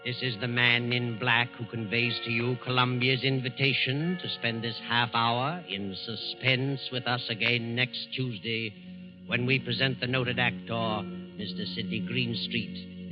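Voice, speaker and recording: A man's voice: medium pitch, steady tone, unhurried. American male radio narrator over dramatic music. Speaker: narrator and announcer. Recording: dull 1940s radio broadcast with music.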